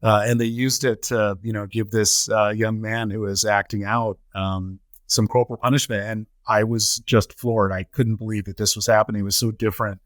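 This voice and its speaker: A middle-aged man with a fairly low voice speaks in a measured, reflective way, pausing often on ums and uhs as he answers questions. The sound is close and dry, with no room around it. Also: flat delivery, dry recording. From United States. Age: 40s to 50s. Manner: measured, many filler "uh"s and "um"s. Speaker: podcast guest, nonprofit CEO.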